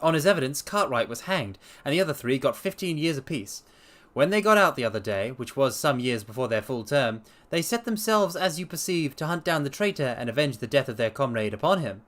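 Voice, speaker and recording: A man voicing a brisk, confident, eloquent detective in a dramatic story reading, explaining with emphatic precision. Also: mid-range voice, animated, fairly bright tone, natural voice. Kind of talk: dramatic audiobook-style character reading.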